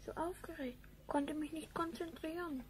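A woman's voice: high-pitched, fairly even intonation, normal speed, slight background noise, close to an everyday voice. A woman playing a trusting, obedient young boy with an innocent, earnest manner in a radio play. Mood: nervous. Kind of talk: 1970s German radio play acting.

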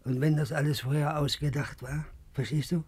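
An actor playing a troubled, brooding ordinary man in a radio play, confiding in a husky, breathy voice. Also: on the high side, steady tone, normal speed, darkish tone. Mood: troubled, suspicious. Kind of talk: 1950s German radio play acting.

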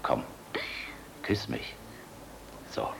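A man's voice: extremely slow, whispered. An actor in a German radio play, playing a world-weary, tender general and flier who knows he is hunted. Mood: wry, playful. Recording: noisy old radio play recording.

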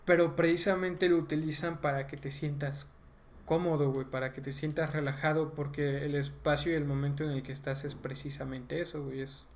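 Slangy young Mexican man, higher-pitched voice. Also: little pitch movement, fairly quick. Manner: slangy, frequently profane. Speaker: podcast host. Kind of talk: casual chat about video games.

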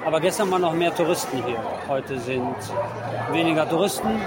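Male street reporter, higher register, spontaneous wry commentary, loud urban crowd and traffic noise around him. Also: lively intonation, brisk. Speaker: radio host reporting from the street. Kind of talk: spontaneous live on-site commentary. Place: outdoors in a busy city crowd. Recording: noisy urban crowd outdoors. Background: crowd, street ambience, vehicles.

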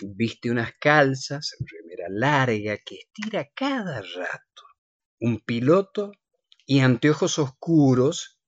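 Male narrator, unhurried pace, lively intonation, scripted scene-setting narration for radio drama. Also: medium pitch, slightly rough, highs cut off. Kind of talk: scripted stage-direction narration.

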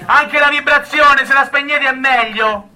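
A man playing a shrill, barking prison guard. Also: steady tone, measured pace, pushed and bright, heavily acted. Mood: bossy, sarcastic. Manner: barking orders, sarcastic. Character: bossy, foul-mouthed male prison guard bullying visitors. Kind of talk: immersive stage acting.

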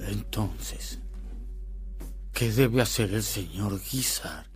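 An actor playing a formal, firm but respectful lawyer with an oratorical manner in a radio drama. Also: male voice, fairly low pitch, animated, drawn-out words, music in the background. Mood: formal pleading. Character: formal, deferential yet firm male lawyer pleading a case.

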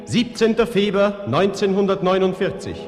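A man's voice: sits high, animated, normal speed. A man announcing a scene dramatically in the style of 1960s radio drama. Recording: long reverb, organ music behind.

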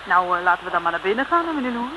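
A woman's voice: medium pitch, very animated, very fast, natural voice. An actress playing a timid, polite, cautious young female sidekick to a detective in a 1960s Dutch radio comedy. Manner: polite, hesitant, fretful. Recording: very noisy old radio recording.

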